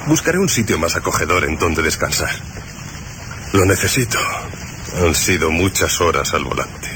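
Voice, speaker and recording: An actor in a radio drama playing a cocky lone driver who talks to himself in a sardonic, deliberate mutter. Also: male voice, low voice, animated, noisy background. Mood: self-reassuring. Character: cocky lone male driver, talking to himself, mocking danger.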